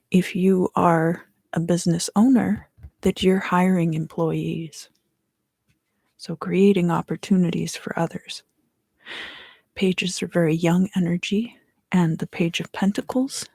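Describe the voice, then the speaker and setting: A woman speaks very slowly in a soft ASMR style, often slipping into a whisper. Her voice is breathy and fairly low, with a heavy creak at the ends. She reads tarot gently, pausing between short phrases. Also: no room sound. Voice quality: breathy, hushed. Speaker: tarot reader hosting an ASMR video. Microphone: close microphone.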